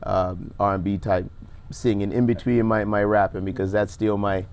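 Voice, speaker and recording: A young man with a baritone voice, a rapper talking casually and reflectively as a guest, with many restarts. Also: level tone, normal speed, a little mellow, a little hiss or hum. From Bermuda. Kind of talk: casual interview chat.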